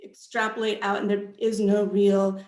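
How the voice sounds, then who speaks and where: A woman author speaking as a panel guest on a video call, thoughtfully explaining her own book in halting phrases. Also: mid-range voice, flat delivery, a little mellow. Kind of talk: panel interview answers about own book. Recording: roomy, echoey video call sound.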